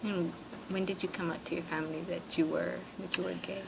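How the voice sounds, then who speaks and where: A young woman with a low, gravelly voice asks questions hesitantly, filling gaps with "um" and "like". The recording is noisy with a steady hiss behind her. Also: a little fast. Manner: hesitant questions full of fillers and "like". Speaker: interviewer. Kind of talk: oral history interview.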